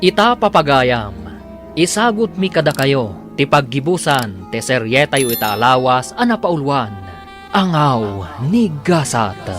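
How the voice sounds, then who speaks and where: A man's voice: medium pitch, big pitch swings, measured pace, a bit bright. A Filipino man announces a radio drama with theatrical flair. His intonation swings widely, he stretches his phrase endings, and music sits faintly underneath.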